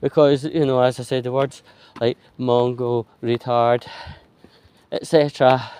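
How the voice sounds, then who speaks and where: Slow, halting Scottish man talking outdoors. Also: mid-range voice, flat delivery, mellow, clean tone. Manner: halting, slow, frequent pauses mid-sentence. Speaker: vlogger. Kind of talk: rambling casual walking monologue. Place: outdoors, walking along a street pavement. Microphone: handheld phone while walking. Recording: outdoor phone recording with traffic noise.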